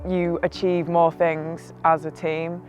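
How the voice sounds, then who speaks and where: A woman on a conservation project explaining its work clearly in a documentary. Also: on the low side, little pitch movement, slow pace, a little mellow, soft background music.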